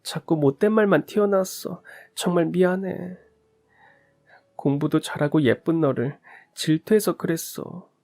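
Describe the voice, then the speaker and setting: A man's voice: a little high, a little slow, darkish tone, pitched above the performer's own voice. A man voicing a remorseful middle-aged woman, a former school bully, who gives a tearful, choked apology.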